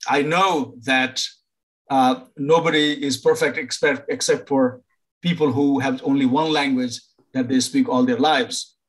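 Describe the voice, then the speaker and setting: An older man from Nepal, a professor advising international students over a video call, pausing often to find words. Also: mid-range voice, fairly even intonation, low-quality recording. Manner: halting, many fillers and restarts. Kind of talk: informal online presentation.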